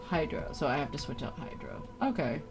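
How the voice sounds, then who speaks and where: A woman's voice: low voice, animated, fairly quick, gravelly. A woman live streaming a video game, chatting casually and playfully with viewers in informal, slangy language with some swearing. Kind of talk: casual gaming livestream chat with viewers. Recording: game music behind the voice. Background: game music and sounds.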